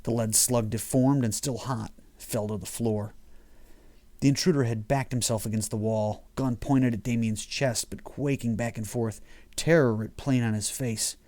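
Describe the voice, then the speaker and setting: A man's voice: mid-range voice, steady tone, normal speed, warm tone. A man reading his own horror short story as scripted audiobook narration.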